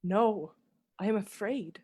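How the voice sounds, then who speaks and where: Sweet, genteel young woman, medium pitch. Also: slow, darkish tone, slightly rough. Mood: frail, fearful. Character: sweet, fragile, affectionate young gentlewoman. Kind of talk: radio play acting.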